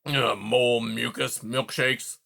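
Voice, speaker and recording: A man's voice: medium pitch, flat delivery, slow pace, creaky, sharp tone, full character voice. A man voicing a grumpy old male porcupine who huffs, sputters and swears in silly made-up oaths. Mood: grumbling.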